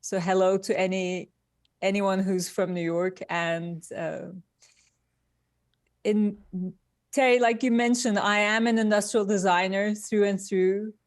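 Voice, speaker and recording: A woman from Turkey, speaking as an interview guest in unhurried, deliberate phrases. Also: mid-range voice, steady tone, no room sound, studio quality. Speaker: guest, industrial designer. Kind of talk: conversational interview answers.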